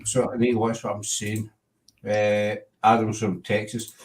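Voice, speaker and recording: A man's voice: on the low side, completely flat delivery, a little slow, recorded from a distance. A Glaswegian Scottish man hosting a casual livestream chat, rambling and breaking off to greet viewers. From Scotland. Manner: rambling, self-interrupting, chatty with viewers. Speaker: host.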